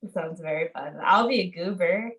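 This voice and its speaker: A woman's voice: lowish voice, moderate pace, a bit bright, echoey room, distant mic. A woman chatting casually and jokingly as a podcast panelist.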